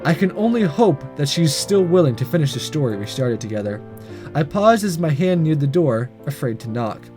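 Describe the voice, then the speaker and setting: A man's voice: a little high, sing-song, normal speed, over music. A man hosting a gaming video, reading a visual novel aloud with casual comments and stumbling over the odd line. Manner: stumbles and rereads lines.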